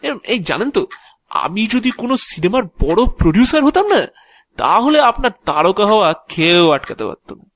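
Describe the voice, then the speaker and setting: Audio drama clerk, played by a man: excitable, talkative, sarcastically flattering, very animated intonation, dry close recording. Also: high voice, brisk, dry recording, full character voice. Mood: gushing, mocking. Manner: animated, gushing, stammering repetitions. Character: excitable, chatty male private-office clerk, sarcastically flattering. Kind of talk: Bengali audio drama dialogue acting.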